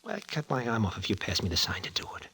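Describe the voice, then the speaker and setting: An American actor in 1940s radio drama style, playing a devoted, hot-tempered young racetrack hustler who talks in emotional street slang. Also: male voice, fairly low pitch, expressive, quick pace, sharp tone, slightly rough, heavily acted. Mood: tender, apologetic.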